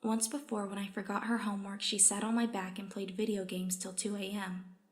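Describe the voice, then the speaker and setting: Woman as story reader, medium-pitched, resigned first-person narration of a put-upon underling. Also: flat delivery, a little fast, close to an everyday voice. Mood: subdued, resigned.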